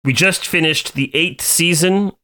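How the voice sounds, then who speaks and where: A man hosting a podcast in a casual chat, leading the talk with many pauses, fillers and restarts. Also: fairly high pitch, bright, forceful tone, rough recording. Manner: hesitant, many fillers and restarts, leads the show. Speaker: podcast host.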